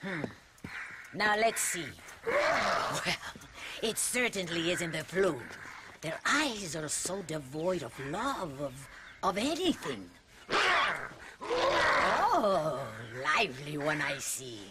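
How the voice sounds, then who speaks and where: An actress playing a dry-witted older mother superior, speaking in a measured, sardonic way in a video game cutscene. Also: fairly low pitch, sing-song, heavy background noise. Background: game score music.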